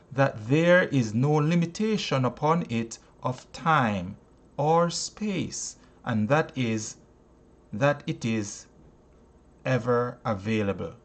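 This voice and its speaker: A man reading a self-help audiobook aloud in a deliberate, emphatic, preacherly way. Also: mid-range voice. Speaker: audiobook reader and teacher.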